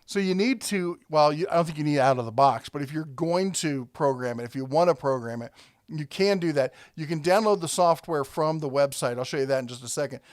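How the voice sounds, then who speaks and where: A man's voice: a little high, brisk, no room sound, studio quality. A man hosting a casual product review, talking conversationally and thinking aloud as he rambles along.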